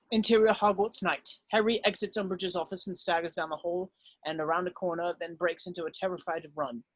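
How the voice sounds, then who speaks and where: Man, high voice, scripted narration, screenplay directions, dry room-free sound. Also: a bit bright, no room sound, low-quality recording. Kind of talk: reading screenplay stage directions aloud.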